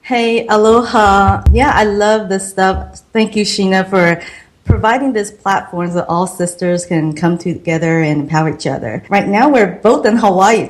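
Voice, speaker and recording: A middle-aged woman from Taiwan with a Taiwanese accent, telling her life story as a podcast guest in an earnest, reflective way. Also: on the low side. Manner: earnest, reflective, slow and careful. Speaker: guest, marketing consultant.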